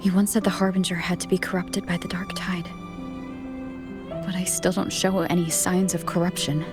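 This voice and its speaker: A woman playing a calm, resolute young heroine in a video game, composed and plain-spoken. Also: lowish voice, normal speed, over music, natural voice. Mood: questioning.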